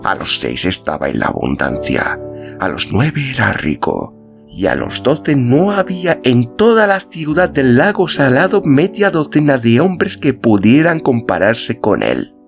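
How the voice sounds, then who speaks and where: A man's voice: medium pitch, sing-song, measured pace, vocal fry. A man reading a literary story as a scripted narration, in the role of podcast narrator. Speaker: audiobook-style podcast narrator. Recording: music behind the voice. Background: instrumental music.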